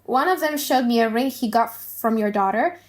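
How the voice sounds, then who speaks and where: A woman's voice: fairly high pitch, normal speed, natural voice. Woman playing a plain-spoken news-bearing friend. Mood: matter-of-fact. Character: matter-of-fact male friend bringing gossip and bad news.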